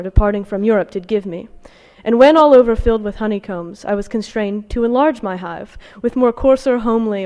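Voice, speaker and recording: Woman, long hall reverb, medium pitch, academic lecturer, scholarly and somewhat halting delivery. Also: a little mellow. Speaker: academic lecturer presenting research. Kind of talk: academic talk with slides, reading out quotations.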